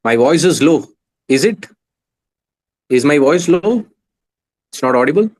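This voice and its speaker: A man's voice: on the high side, lively intonation, unhurried. Earnest Indian-accented man teaching online. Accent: Indian English. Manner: earnest, with frequent hesitations and restarts. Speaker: exam coach. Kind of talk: online class session.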